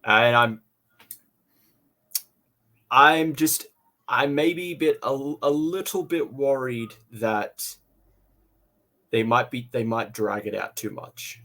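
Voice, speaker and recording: A man with a medium-pitched voice chats casually as a podcast co-host giving a review. He thinks aloud in halting phrases, often repeating his words before landing on the point. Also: pushed and bright.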